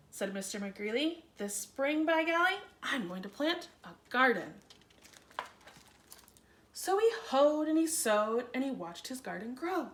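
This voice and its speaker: A woman reading a children's picture book aloud in an expressive, theatrical way with dramatic emphasis. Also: high-pitched, a little hiss or hum. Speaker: children's story reader. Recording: roomy, echoey room sound.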